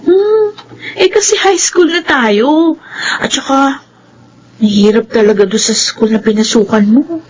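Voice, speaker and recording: A Filipino woman playing a supportive high school girl in a radio drama, chatty and sympathetic. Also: lively intonation, a little slow, long pauses, slight background noise. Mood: sympathetic, curious.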